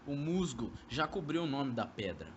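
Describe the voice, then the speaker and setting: A man's voice: medium pitch, very animated, moderate pace, lo-fi sound, a little hiss or hum, natural voice. A young man acting a sly, coaxing former lover in an amateur radio drama, speaking Brazilian Portuguese with poetic, theatrical delivery. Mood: brooding, poetic.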